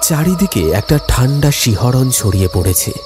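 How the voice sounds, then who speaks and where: A man's voice: medium pitch, big pitch swings, normal speed. A man reads a scripted horror story in a dramatic, suspenseful manner. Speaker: narrator. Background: music, insect sounds.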